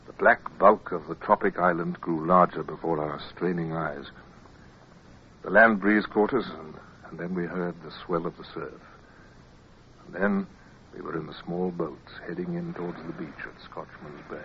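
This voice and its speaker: An eager young British naval lieutenant in a radio adventure. He is earnest and a little hesitant, with a deep voice. The sound is dull, with clear background noise. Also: animated, moderate pace. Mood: tense, narrating. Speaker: actor in a radio drama. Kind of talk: radio drama acting. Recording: muffled, noisy old radio recording.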